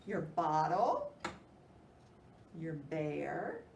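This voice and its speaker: A woman hosting storytime for young children, reading aloud in an animated, expressive way. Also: low voice, very slow, vocal fry, dull audio. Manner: animated, expressive, with theatrical gasps. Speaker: children's librarian and storytime host. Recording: roomy, distant mic, faint noise.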